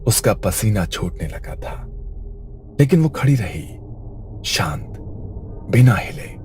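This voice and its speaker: A man's voice: lowish voice, big pitch swings, takes it slowly, darkish tone, slight background noise. A man narrating a scripted horror story in a suspenseful storytelling manner.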